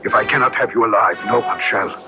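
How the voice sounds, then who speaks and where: Man playing a fierce, low-voiced possessive lover. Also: quick pace, close to an everyday voice. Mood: murderous. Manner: fierce, imperious. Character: menacing, possessive Spanish-named lover turned killer. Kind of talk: melodramatic radio drama acting. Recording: dull old radio recording, music underneath.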